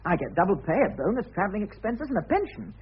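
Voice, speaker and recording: A man's voice: high voice, big pitch swings, quick pace, breathy edge, heavily acted. An actor in a radio play voicing a timid, earnest bank clerk, a fussily polite man who hesitates, stammers and apologises. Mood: anxious, indignant. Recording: dull, muffled old radio drama recording.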